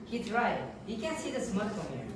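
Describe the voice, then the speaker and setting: A woman's voice: medium pitch, big pitch swings, moderate pace, lightly acted. A young woman acting a serious, worried husband and father in an amateur radio drama, earnest and cautious. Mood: grave. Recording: echoey room, distant mic, noisy.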